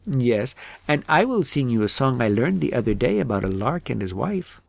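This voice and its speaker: A man's voice: mid-range voice, big pitch swings, normal speed, vocal fry. A man voicing an eager, polite little girl in a storybook. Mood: eager, pleading. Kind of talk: storybook character voice.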